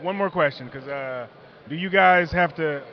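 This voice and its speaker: Brief: talkative male interviewer, a bit high-pitched, joking, muffled outdoor sound. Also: moderate pace. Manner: chatty, joking, rambling questions.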